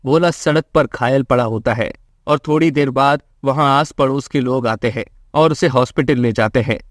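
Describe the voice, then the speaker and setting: A man's voice: medium pitch, normal speed, no room sound, studio quality. A man narrating a scripted children's moral story as a storyteller.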